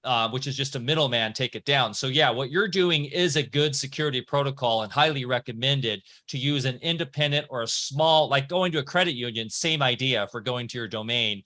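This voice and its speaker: Podcast host guy, bright voice, rambles and restarts a lot. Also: mid-range voice, a little fast, few pauses. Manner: rambling, self-correcting, talks in restarts. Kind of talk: casual conversation.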